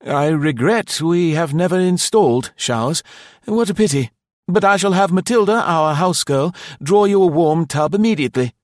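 A man's voice: fairly high pitch, lively intonation, moderate pace, no room sound, studio quality, pitched above the performer's own voice, lightly acted. A man voicing a cheerful, fussy British male guesthouse host in an audiobook, jaunty and effusively polite, with clipped phrases. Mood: apologetic. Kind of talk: audiobook character voice.

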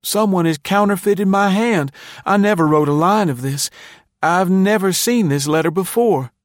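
A man's voice: on the high side, fairly even intonation, a little slow, no room sound, clean studio sound, pitched above the performer's own voice, natural voice. A man voicing a polite, earnest teenage boy, frightened and pleading, in an audiobook story. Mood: wounded, protesting. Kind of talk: audiobook character dialogue.

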